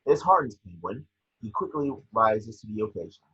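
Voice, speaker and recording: A very husky man narrates screenplay action with a vivid storytelling delivery. His tone is sharp and forceful. The recording sounds a bit dull and lo-fi. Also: lowish voice, very animated, normal speed. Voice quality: husky, raspy. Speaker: scene description reader. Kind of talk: narrating a screenplay table read. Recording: dull lo-fi call audio.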